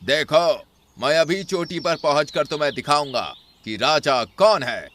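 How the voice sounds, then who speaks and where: A man voicing an arrogant, boastful village bull in an animated animal cartoon. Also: on the high side, measured pace, slight background noise. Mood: cocky. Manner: boastful, taunting, challenging.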